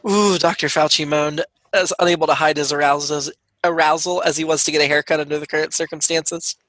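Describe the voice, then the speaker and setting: A man's voice: fairly high pitch, flat delivery, fast talker, fairly bright tone, dry recording. A man reading a scripted comic story aloud to listeners, as its writer and performer. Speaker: comedy troupe writer reading own story.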